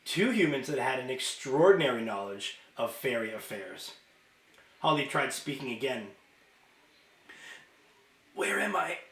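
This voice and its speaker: A man's voice: medium pitch, expressive, moderate pace, a bit bright, roomy sound. A man reading a novel aloud as a storyteller, with informal asides. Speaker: reader of a children's novel.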